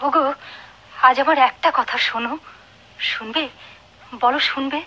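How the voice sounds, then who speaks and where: An actress playing a devoted, neglected young wife in a radio drama, speaking emotionally and imploringly. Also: female voice, sits high, measured pace, bright, forceful tone, highs cut off. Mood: pleading. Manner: emotional, imploring, repeats phrases. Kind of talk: Bengali radio drama acting. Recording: noisy old radio drama recording.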